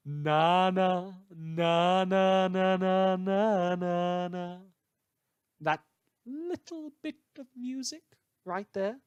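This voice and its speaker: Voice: mid-range voice, dry recording, studio quality. A performer playing a chatty, wry radio news host in a superhero world, theatrical and teasing, rambling and correcting themself in a fan audio drama. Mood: teasing, ominous.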